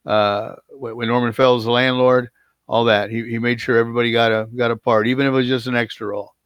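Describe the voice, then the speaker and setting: A man's voice: medium pitch, little pitch movement, quick pace, a bit bright, clear, resonant tone, dry recording, clean studio sound. A man co-hosting a video call chat, eager and tripping over his words as he talks. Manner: eager, stumbles and repeats words.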